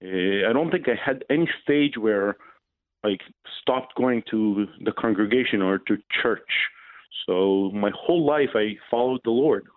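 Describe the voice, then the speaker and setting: A man in his forties from Israel with an Israeli accent, a radio interview guest answering questions in a halting way, with frequent pauses and fillers. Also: on the low side, no echo. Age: 40s. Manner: hesitant, many pauses and "uh" fillers. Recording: tinny phone line.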